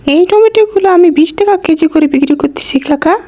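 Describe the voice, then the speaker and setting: A woman's voice: very high-pitched, fairly quick, mellow. A woman playing a polite, earnest young village wife who sells vegetables in a cartoon. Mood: cheerful, obliging. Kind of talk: cartoon voice acting.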